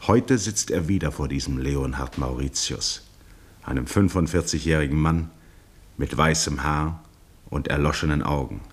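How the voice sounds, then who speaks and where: Male narrator, deep pitch, formal, measured, scripted storytelling, 1960 radio drama. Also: breathy edge.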